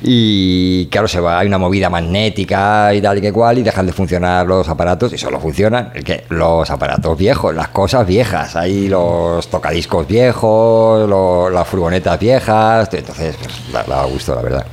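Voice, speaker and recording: A man's voice: sits low, normal speed, few pauses, a little mellow, slight background noise. A man with a Spanish accent from Spain co-hosts a podcast, talking in a casual, opinionated, rambling and colloquial way. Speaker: podcast co-host.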